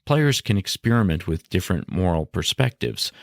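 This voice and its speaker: A man hosting an educational podcast, explaining in a measured, scripted monologue with formal wording. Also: low voice, animated, creaky, no room sound, studio quality. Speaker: podcast host.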